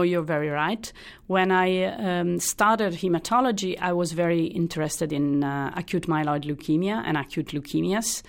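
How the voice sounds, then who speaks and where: A woman in her sixties from Italy with an Italian accent, a hematologist answering interview questions in a halting, thoughtful way. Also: fairly low pitch, creaky. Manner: thoughtful, halting, many restarts and fillers. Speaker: hematologist guest. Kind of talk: interview, explaining her career.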